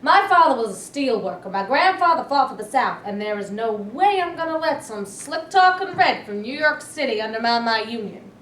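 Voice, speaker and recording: Lady playing angry stubborn union boss guy, highish voice, stage reading in echoey room, bit far off. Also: expressive, normal speed, few pauses, fairly bright tone. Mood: scornful, suspicious. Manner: blunt, combative. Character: hostile, bigoted Southern union vice president, a man. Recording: echoey room, distant mic, faint noise.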